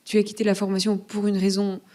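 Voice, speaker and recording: A woman's voice: medium pitch, monotone, fast talker, dark tone. A woman student asking a guest author about her training in a casual, friendly way. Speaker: student interviewer. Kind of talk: asking questions at a book talk.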